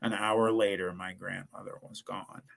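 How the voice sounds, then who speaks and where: This is a podcast guest, a man from Ohio, telling his story in an earnest, rambling way. His voice sits low with a strong gravelly fry. The tone is bright and forward. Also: flat delivery, moderate pace. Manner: earnest, rambling, with frequent restarts. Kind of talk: casual storytelling.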